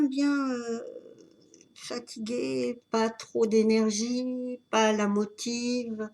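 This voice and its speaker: A woman with a cold giving an unscripted tarot reading, talking in a halting way with many fillers and restarts. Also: on the high side, a little mellow. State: has a cold. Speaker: tarot reader.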